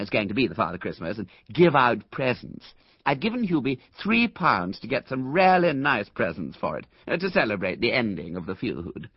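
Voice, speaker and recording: A man voicing a loud, boastful, doting well-to-do mother in a storybook. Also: medium pitch, no echo, dull audio, pitched above the performer's own voice.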